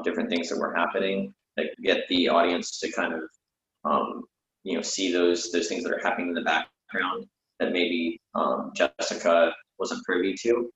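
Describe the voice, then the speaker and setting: Male playwright in casual discussion, deep voice, hesitant phrasing, roomy sound. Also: a bit bright, slight background noise. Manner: hesitant, halting. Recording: muffled, echoey room audio.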